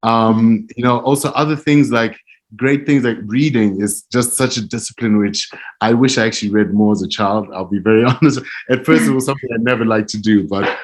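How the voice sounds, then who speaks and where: A man from Zimbabwe, answering interview questions in an earnest, reflective way with frequent soft laughs. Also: medium pitch, brisk, poor audio. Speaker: interview guest, music publishing director.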